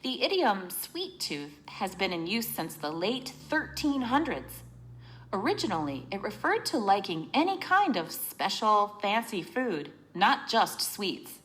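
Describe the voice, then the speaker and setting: Woman, storybook narration, slow pace, animated pitch, deliberate, teacherly explanations. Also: rough recording. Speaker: reader reading a children's book aloud.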